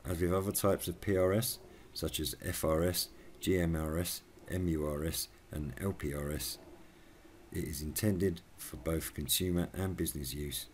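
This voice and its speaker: A man narrating a scripted explainer as a video host, reading in a measured way. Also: low voice, steady tone, a little mellow, a little hiss or hum. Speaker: YouTube channel host.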